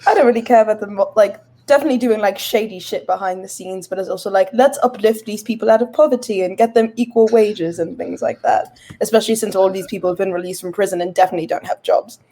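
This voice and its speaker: Relaxed mellow woman, tabletop gamer just chatting, says like a lot. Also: medium pitch, fast, few pauses. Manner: informal, heavy use of "like". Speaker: tabletop role-playing game player. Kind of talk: casual chat.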